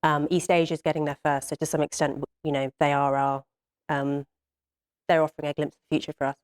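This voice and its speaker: A woman's voice: deep voice, flat delivery, fast. A woman speaking on a panel, casual and chatty. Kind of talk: panel discussion.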